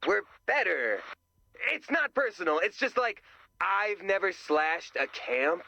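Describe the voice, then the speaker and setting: A high-pitched, anxious young camper in a video game. He babbles bluntly in a very sharp, forceful tone with a creaky, fried edge. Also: very animated, slow, exaggerated voice. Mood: smug, awkward. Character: nervous, tactless young male summer camper. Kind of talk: animated video game voice acting.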